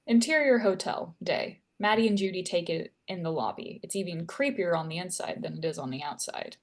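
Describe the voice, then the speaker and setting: A woman's voice: on the low side, fairly quick. A woman reading stage directions as the narrator of a screenplay table read.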